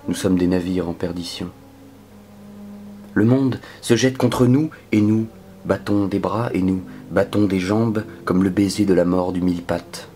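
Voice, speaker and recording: A man performing a dramatic spoken-word poetry reading in a solemn, measured manner that can sink toward a whisper. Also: low-pitched, warm tone, a little hiss or hum. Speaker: poetry reader.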